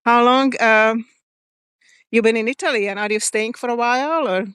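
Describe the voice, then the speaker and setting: Woman host of a walking live stream, smooth and clear, talks casually to viewers. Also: fairly high pitch, a bit bright, no room sound. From Hungary. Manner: chatty, reads viewer comments aloud, pauses and restarts. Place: outdoors on a forest walk.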